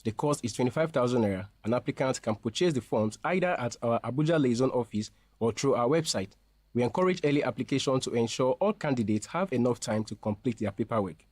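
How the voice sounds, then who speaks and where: A man speaking as a representative in a scripted promotional interview, explaining things in a formal, measured way. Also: mid-range voice, expressive, a little mellow, dry recording. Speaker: university representative being interviewed.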